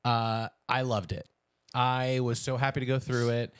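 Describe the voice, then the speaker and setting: A man's voice: medium pitch, little pitch movement, measured pace, clear, resonant tone, no room sound, studio quality. A man with an American accent chatting casually and playfully as a podcast co-host. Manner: playful, bantering. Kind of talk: casual chat.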